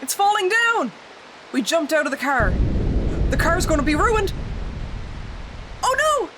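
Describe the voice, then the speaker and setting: A woman voicing a frightened young female passenger who shrieks in high bursts. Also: very animated, a little slow, background noise, exaggerated voice. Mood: alarmed. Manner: shrieking, panicky.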